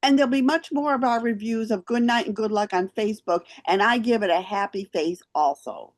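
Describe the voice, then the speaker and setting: A woman's voice: mid-range voice, brisk, no echo. A woman hosting a theater show, presenting and interviewing in a chatty style. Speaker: host and interviewer.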